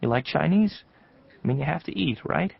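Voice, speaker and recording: An actor playing a weary, sympathetic forensic detective in an audio drama, informal and reflective in a noir style. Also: male voice, a little high, expressive, fairly quick, gravelly, dull audio, very noisy. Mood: sympathetic, subdued. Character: weary, sympathetic male forensic detective narrating his case. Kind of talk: audio drama acting and narration.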